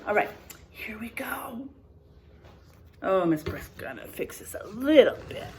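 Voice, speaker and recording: A woman teacher reading a picture book aloud to her first-grade class, gently asking them questions along the way. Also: medium pitch, slight background noise. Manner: animated, gentle, asks the children questions.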